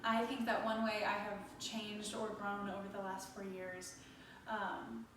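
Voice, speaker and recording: A young woman of twenty-two speaks with warm, heartfelt sincerity. She is recorded from a distance in a room with strong echo. Faint ambient noise sits behind her. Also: medium pitch, flat delivery, a little slow. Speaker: theater student.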